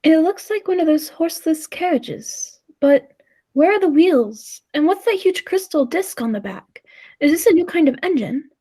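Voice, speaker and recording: Casting: actress as deferential doubting guest, vintage radio drama. High, soft-toned voice, timid and exclamatory. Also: female voice, animated, moderate pace. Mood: astonished. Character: polite, timid, skeptical dinner guest of the professor. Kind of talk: 1940s radio play acting.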